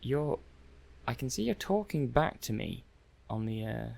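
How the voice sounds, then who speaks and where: Man playing a gravelly, hesitant robot tester. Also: medium pitch, big pitch swings, a little mellow. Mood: bewildered. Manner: hesitant, halting, musing aloud. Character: hesitant, curious male robot technician running software tests. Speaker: audio roleplay performer. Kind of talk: soft-paced ASMR roleplay monologue.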